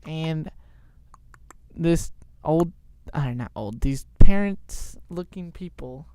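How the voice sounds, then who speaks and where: A young man telling an improvised story in a halting, informal way. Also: a little high, animated, mellow, smooth, dry recording. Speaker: storyteller, show host.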